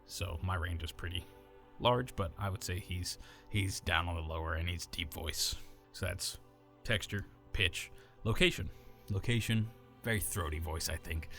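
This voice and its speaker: A very low-pitched man from the American South, speaking with a neutral accent and a natural gravel. He explains a voice build in a loose, rambling way, with plenty of fillers and restarts. Faint music sits underneath. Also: normal speed, a bit bright. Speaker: voice actor. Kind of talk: explaining how he builds a character voice.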